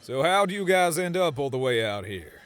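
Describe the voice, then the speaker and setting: Man playing a folksy cowboy with a higher-set drawl. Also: sing-song, moderate pace, a bit bright, clear, resonant tone. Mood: casual, explaining. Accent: American Western. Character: easygoing, confident cowboy man, friendly but tough. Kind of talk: audiobook drama character acting. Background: music.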